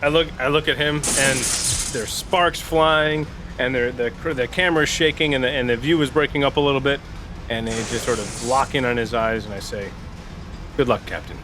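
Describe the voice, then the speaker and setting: A man's voice: mid-range voice, steady tone, moderate pace, noisy background. A man playing a tabletop role-playing game, switching between casual banter and dramatic in-character lines with a joking manner.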